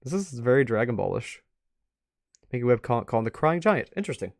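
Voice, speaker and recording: A man's voice: medium pitch, very animated, brisk, gravelly, no room sound, clean studio sound. A man giving casual, off-the-cuff commentary as a stream host, rambling and musing aloud. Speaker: host of a live art review stream.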